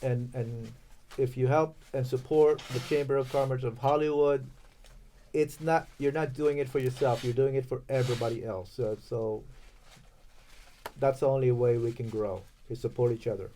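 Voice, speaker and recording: Male podcast guest, Los Angeles native, chatty and upbeat, mid-pitched. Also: steady tone, normal speed, dark tone, a little hiss or hum. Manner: chatty, laughs often, encouraging. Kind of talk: casual podcast chat.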